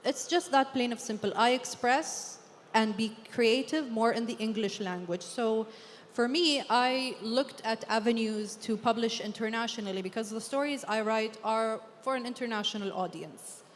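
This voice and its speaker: High-voiced Arabic-native woman in a hall. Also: normal speed. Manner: earnest, thinking aloud. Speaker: author on a book fair panel. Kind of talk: panel discussion. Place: large hall at a book fair. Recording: long hall reverb, faint background hum.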